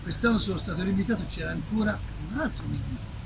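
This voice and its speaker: A man's voice: high voice, fast talker, vocal fry. A man in his sixties making an impromptu, insistent complaint from the audience at a live event. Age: 60s. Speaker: audience member, publicist. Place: outdoor festival. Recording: noisy live outdoor recording.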